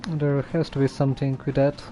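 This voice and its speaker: A man giving casual live gameplay commentary, halting and muttering to himself as he plays. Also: medium pitch, little pitch movement, warm tone. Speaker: game streamer. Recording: faint game music underneath.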